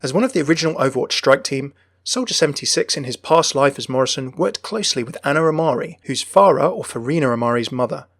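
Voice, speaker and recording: A man's voice: mid-range voice, lively intonation, fast talker, fairly bright tone, no echo. A young man hosting a gaming channel, delivering scripted lore commentary in an enthusiastic manner. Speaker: video game channel host. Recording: dry close voice over music. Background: background music.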